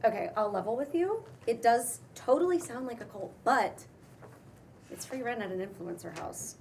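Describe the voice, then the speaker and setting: A woman plays a breezy young woman with a writer's wry streak. Her voice sits a little high and sounds casual and conversational, as in a script read, with slight noise behind it. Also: animated, moderate pace, gravelly, a little mellow. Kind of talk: table read of a pilot script. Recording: faint background noise.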